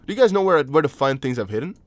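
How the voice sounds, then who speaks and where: A young man whose first language is Dutch, speaking English with a Dutch accent. He hosts a casual crypto livestream, chatting loosely, reading chat aloud and thinking out loud with lots of fillers. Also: fairly high pitch, sing-song, very fast. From Netherlands. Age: young adult. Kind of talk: casual livestream chart analysis.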